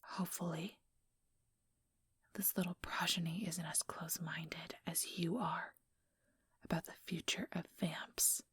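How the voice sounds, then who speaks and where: A very breathy, whispering female vampire acted by a woman in an ASMR role-play. She speaks slowly and condescendingly, mock-sweet on the surface and coldly menacing beneath. The sound is close and dry. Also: lowish voice, fairly even intonation, fairly bright tone, no room sound, full character voice. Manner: slow, taunting, mock-sweet. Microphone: close, dry microphone.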